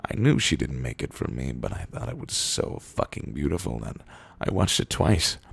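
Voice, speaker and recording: A man reading written internet posts aloud in a deadpan, comedic way, with a gravelly, husky voice and drawn-out pauses. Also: very deep voice, no echo. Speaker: reader of internet copypasta posts.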